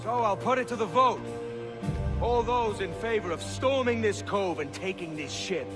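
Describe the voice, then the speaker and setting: Brash pirate captain for a game, male actor, lighter-pitched, sardonic and theatrical. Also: moderate pace, music in the background, lightly acted. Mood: rousing. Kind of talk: dramatic video game acting.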